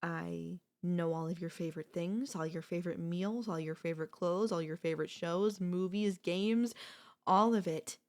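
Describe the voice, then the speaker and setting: A woman playing an obsessive, possessive sister-in-law in an intimate ASMR audio roleplay, coolly confessing her love with deliberate pauses. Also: fairly low pitch, close to an everyday voice. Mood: smug, insistent. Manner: slow, deliberate, with long pauses.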